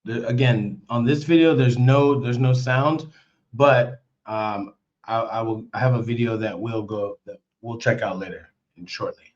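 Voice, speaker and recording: A young man hosts a casual live stream, his voice hoarse and worn out. He talks in an excitable, chatty way and repeats himself often. The audio is slightly dull and lo-fi, like a home computer mic. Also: mid-range voice, measured pace.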